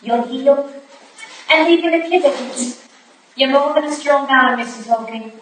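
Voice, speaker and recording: A woman's voice: high-pitched, measured pace, fairly bright tone. A woman playing an earnest, loyal young protégée, composed in manner, in a staged drama reading. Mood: earnest concern. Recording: echoey hall, distant mic, faint noise.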